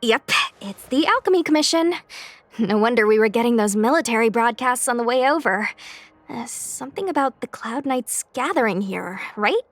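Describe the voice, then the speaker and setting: High, chirpy young soldier girl. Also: expressive, moderate pace, no room sound. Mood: cheerful, proud. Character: earnest, chirpy, flustered young woman soldier. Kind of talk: anime game voice acting. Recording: music behind the voice.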